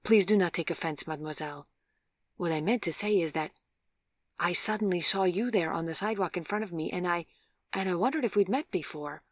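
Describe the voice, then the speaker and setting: A woman's voice: lowish voice, brisk, no echo, studio quality, close to an everyday voice. A woman voicing an awkward, courteous, apologetic man in an audiobook, speaking with hesitant, formal politeness. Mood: formal, earnest. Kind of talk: audiobook character voice.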